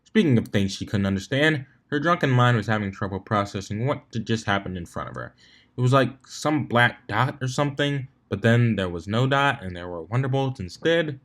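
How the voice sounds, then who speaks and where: A man's voice: medium pitch, animated, moderate pace, a bit bright. A man narrating fan fiction in a storybook reading style.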